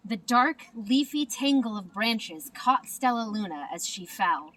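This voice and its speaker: A woman narrating a children's storybook in an expressive, deliberate manner. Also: on the high side, recorded from a distance. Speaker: storybook reader.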